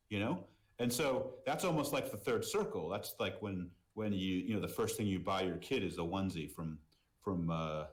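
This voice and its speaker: A middle-aged man from Boston with an American accent, talking casually as an interview guest, repeating words and often saying "you know". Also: sits low. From Boston, United States. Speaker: podcast guest, merchandise business executive.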